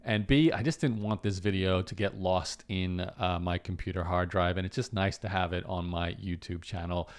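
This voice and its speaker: A man from Australia with a Canadian accent, talking casually to camera as host of his channel in a relaxed, rambling way with frequent fillers. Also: low voice, moderate pace, hardly any pauses, smooth. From Brisbane, Australia. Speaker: online entrepreneur and channel host.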